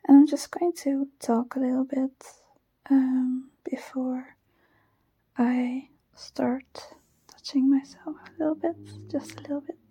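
A woman's voice: high-pitched, little pitch movement, slow pace, slightly rough, no room sound, low-quality recording. Shy giggly Dutch woman whispering. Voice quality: soft whisper. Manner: shy, giggly, nervous, hesitant with many fillers. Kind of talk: whispered personal ramble, ASMR-like. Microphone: close microphone.

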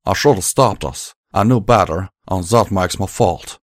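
A man's voice: fairly low pitch, moderate pace, a little mellow, no echo, clean studio sound, natural voice. Man doing a drawling pony buck. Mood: strained. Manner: drawling, strained and emotional. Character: rough-spoken young male pony gunslinger.

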